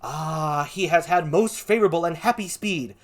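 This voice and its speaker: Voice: medium pitch, moderate pace, close to an everyday voice. A reader acting a courtly, earnest noble lieutenant in a Shakespeare read-aloud, with an affected nobleman voice. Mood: admiring, lofty.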